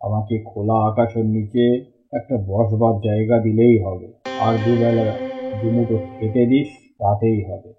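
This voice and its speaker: A man's voice: on the low side, flat delivery, normal speed, close to an everyday voice. A man voicing a cartoon wandering holy man who talks in a fatherly, lofty way. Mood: lofty, benevolent. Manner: speaks condescendingly to villagers as children. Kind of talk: cartoon voice acting. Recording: dull sound with music behind.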